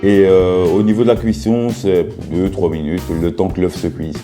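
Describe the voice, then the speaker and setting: Low-pitched man, hoarse from a cold, joking chatty tone, guitar music behind. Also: fairly even intonation, normal speed, mellow. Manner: joking, chatty, talks straight to the viewer. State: sick with a cold, sore throat. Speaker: cooking vlogger. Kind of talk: casual cooking video narration. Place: his bedroom.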